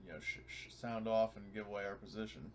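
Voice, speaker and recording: A man's voice: on the low side, fairly even intonation, brisk. A man talking casually as a player in a tabletop game. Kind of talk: casual chat.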